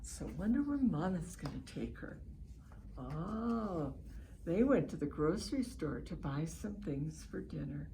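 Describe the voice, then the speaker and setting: A woman reads a picture book aloud to children with lively, swinging intonation and an unhurried pace. She lingers on phrases and encourages young listeners with little questions. There is clear background noise in the home recording. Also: low-pitched. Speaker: read-aloud host for children. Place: at home, online session.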